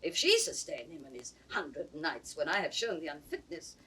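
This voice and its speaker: A woman's voice: deep voice, expressive, normal speed, pushed and bright, breathy edge, distant mic, heavily acted. An actress playing a cold, scheming, imperious noblewoman in a classical 1960s Shakespeare audio drama, with commanding, scornful theatrical phrasing. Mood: calculating.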